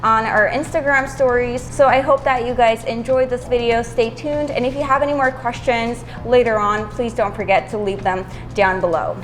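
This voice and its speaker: A woman's voice: fairly high pitch, fairly even intonation, moderate pace, few pauses, faint music behind. A young woman hosting her channel, asking eager, friendly interview questions. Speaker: YouTube host and interviewer.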